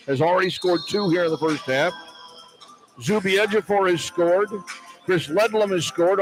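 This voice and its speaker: A man's voice: on the high side, low-quality recording. An American man calling live basketball play-by-play on radio in clipped phrases. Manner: clipped phrases tracking each pass and shot. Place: courtside at an indoor arena. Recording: arena noise behind broadcast commentary. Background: arena ambience, basketball bounce.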